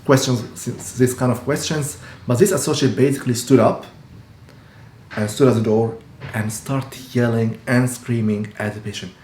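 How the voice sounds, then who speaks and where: Man in his forties, earnest explainer, mid pitch, echoey room. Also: fairly even intonation, normal speed, a little mellow. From Egypt. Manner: earnest, explanatory, frequent restarts. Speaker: dentist and practice owner. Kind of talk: casual talk to camera. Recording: echoey room with faint hum.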